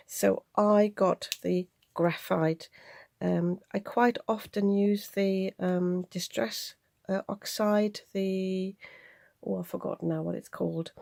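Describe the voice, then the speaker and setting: A woman's voice: medium pitch, vocal fry, darkish tone, no room sound, studio quality. A woman chatting casually and a little hesitantly as she presents craft supplies in a show-and-tell video. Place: indoors at a craft desk.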